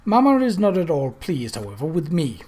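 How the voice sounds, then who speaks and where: A man voicing a petulant, self-pitying young gentleman in a storybook reading, with wry, sighing, theatrical upper-class phrasing. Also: on the high side, huge pitch swings, normal speed. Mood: annoyed.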